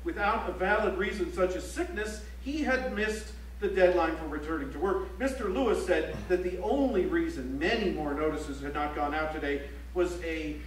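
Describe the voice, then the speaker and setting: A man playing a news reporter on stage, reciting strike reports in a formal, measured, declarative way. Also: high voice, lightly acted. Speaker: stage actor. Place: library auditorium stage, live play. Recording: echoey auditorium from far off, faint hum.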